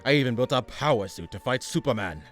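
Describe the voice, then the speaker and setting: A man plays a condescending supervillain mastermind at the end of his patience. He has a medium-pitched voice and a pompous delivery, over faint music in a comic radio play. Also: lively intonation, quick pace, fairly bright tone, natural voice. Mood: weary scheming. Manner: pompous, exasperated.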